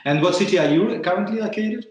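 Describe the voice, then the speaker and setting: Host, male, Russian accent, fairly high voice, stop-start phrasing, very echoey room. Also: quick pace. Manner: hesitant, repeats words while searching for phrasing. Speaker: podcast host. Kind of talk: interviewing a guest over a call.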